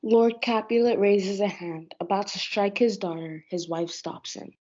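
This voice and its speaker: A young woman narrates a play from a script. Her voice is soft-toned and mid-pitched. The recording is a bit dull. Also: unhurried.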